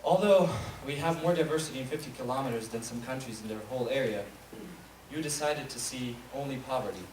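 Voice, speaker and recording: A man's voice: mid-range voice, a little fast, a little mellow. A young man from Eastern Europe giving a wry, pointed spoken-word monologue on a school stage. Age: young adult. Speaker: student speaker. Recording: echoey hall recorded from far off, noisy.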